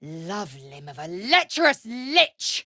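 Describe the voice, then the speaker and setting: A woman playing a proud, fierce githyanki warrior in a video game, with a husky, raspy voice and a clipped, formal, commanding way of talking. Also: mid-range voice, sing-song, takes it slowly, sharp tone, dry recording, clean studio sound, full character voice. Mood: scornful. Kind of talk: video game character voice lines.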